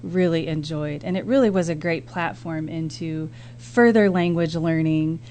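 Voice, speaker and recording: A woman's voice: low voice, moderate pace, mellow. A middle-aged American woman talking casually as a guest in a radio interview. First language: English. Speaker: guest, missionary and former teacher. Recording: background noise and hum.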